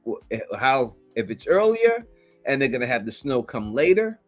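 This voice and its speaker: A man's voice: mid-range voice, sing-song, normal speed, no echo, faint music behind. A man co-hosting a casual podcast, talking emphatically and repeating himself as he pushes his theories. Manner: emphatic, repetitive, insistent theorizing. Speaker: co-host breaking down a trailer.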